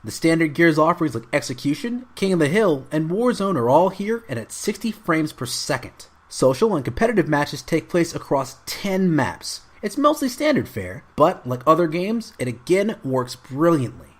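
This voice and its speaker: A man's voice: a little high, very animated, normal speed, hardly any pauses. A man narrating a scripted video game review as a voice-over.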